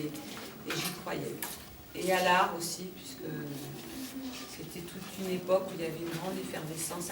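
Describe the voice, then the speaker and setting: A woman in her fifties, a dancer and yoga teacher, speaking earnestly and thoughtfully with hesitations. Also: on the low side. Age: 50s. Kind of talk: personal testimony. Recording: roomy distant mic with noise.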